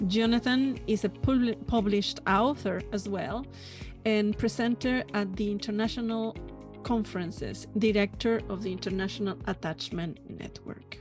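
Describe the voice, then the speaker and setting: A woman hosting a podcast, introducing her guest and asking questions in a measured way with frequent restarts. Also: fairly high pitch. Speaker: podcast host.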